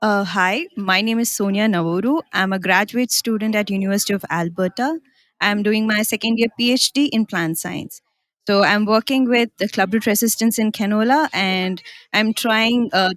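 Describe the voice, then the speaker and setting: A woman's voice: mid-range voice, a bit bright, no room sound. A young woman with an Indian accent, answering earnestly as an interviewee in a short interview. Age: young adult. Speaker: interviewee, graduate student. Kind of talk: conference mini interview.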